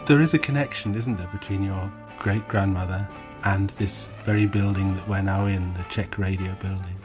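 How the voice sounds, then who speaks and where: A male radio host interviewing a guest in a studio, asking gentle, measured questions. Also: sits low, fairly even intonation, music in the background.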